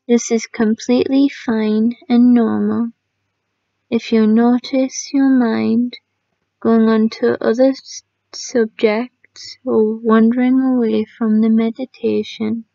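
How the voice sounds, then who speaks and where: Slow, soft, creaky-voiced woman guiding meditation. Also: a little high, no room sound. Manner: soft, calming, often trailing into near-whisper. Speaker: guided meditation narrator. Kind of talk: guided sleep meditation. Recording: dry, slightly muffled lo-fi sound.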